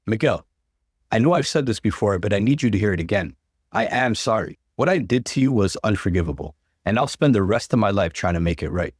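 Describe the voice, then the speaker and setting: A man's voice: lowish voice, expressive, a little fast, dry recording, clean studio sound. A man voicing a remorseful adult brother just out of prison in a read-aloud story, with an earnest, apologetic manner.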